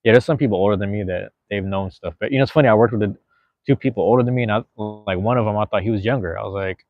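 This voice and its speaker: A man's voice: lowish voice, rapid-fire, dry recording, narrow, tinny audio. A man in his thirties chatting casually as a podcast guest, rambling and slangy, swearing freely. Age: 30s. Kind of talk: casual chat.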